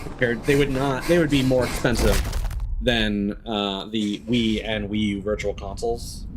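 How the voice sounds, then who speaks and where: A man giving casual live commentary as he plays a game, halting and thinking aloud as he goes. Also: on the low side, fairly even intonation, background noise. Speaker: game streamer.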